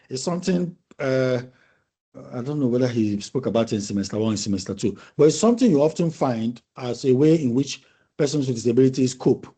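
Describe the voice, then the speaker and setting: A man's voice: medium pitch, dark tone, breathy edge. A man lecturing a university class in a conversational discussion, thoughtful and repeating phrases as he explains.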